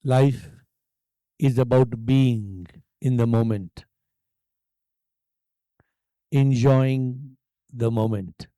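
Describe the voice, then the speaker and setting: An Indian-accented man leading a guided meditation as a teacher, speaking calmly and deliberately with long pauses. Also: medium pitch, dry recording. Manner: calm, hushed, deliberate phrasing with long pauses.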